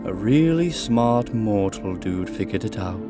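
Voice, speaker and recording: A man's voice: lowish voice, lively intonation, a little slow. A man playing a drowsy, laid-back god of sleep who teases his brother in a languid, casual way in an audio drama. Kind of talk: audio drama character acting. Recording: voice over background music.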